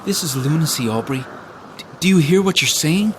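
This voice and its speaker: A man's voice: a little high, expressive, a little fast, natural voice. Man acting a worried husband over noisy ambience. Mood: alarmed, incredulous. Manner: urgent, pleading, intimate. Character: earnest, protective, devout new husband, worried and insistent. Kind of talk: audio drama acting. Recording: clear ambient sound behind voice.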